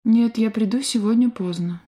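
A woman reading a scripted language-course drill slowly and clearly in a studio. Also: on the high side, dark tone. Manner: slow, clear, carefully articulated.

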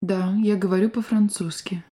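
Female course voice, soft dark tone, careful even delivery for drill prompts and answers. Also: medium pitch. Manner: clear, deliberate, evenly paced. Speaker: language course speaker. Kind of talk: scripted language drill reading.

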